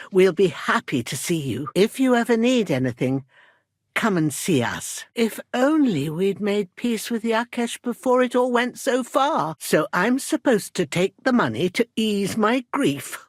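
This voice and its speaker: Middle-aged village midwife, game drama: bitter, protective mother, breathy and husky, slow, emotional delivery. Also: medium pitch, dry recording, studio quality. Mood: grieving, weary. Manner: earnest, emotional, deliberate phrasing. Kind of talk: dramatic video game voice acting.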